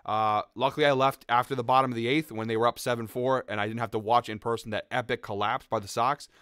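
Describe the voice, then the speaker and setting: A man hosting a sports betting picks show, talking quickly and casually through stats with a slightly raspy voice. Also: medium pitch, steady tone, a bit bright, no room sound, clean studio sound. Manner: quick, upbeat, rattles off stats with fillers. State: slightly raspy from a late night out. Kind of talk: fast casual betting-tips monologue.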